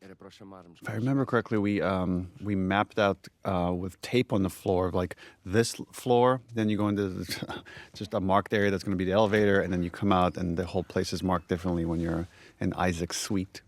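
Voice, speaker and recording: A man with a low-pitched voice talks through behind-the-scenes commentary in the role of a director. He explains things in a reflective, unscripted way. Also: fairly even intonation, fairly quick. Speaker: game director giving commentary.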